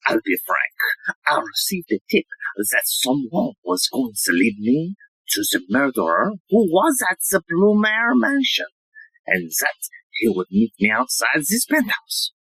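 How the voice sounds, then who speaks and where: A woman's voice: sits low, very animated, a little slow, fairly bright tone, breathy edge, no room sound, heavily acted, rough recording. A woman playing an eccentric, self-assured male police inspector in a comic audio play, speaking in a theatrical, earnest way. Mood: earnest, solemn. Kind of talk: audio play comedy acting.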